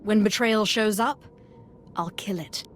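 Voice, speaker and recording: A woman's voice: mid-range voice, unhurried, a little hiss or hum, close to an everyday voice. Woman playing a confident young Sith woman, echoing. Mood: confident, defiant. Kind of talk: video game voice acting.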